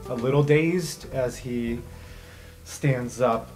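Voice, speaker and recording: A man's voice: medium pitch, slow pace, music in the background. A man acting as game master, narrating an improvised fantasy tabletop game.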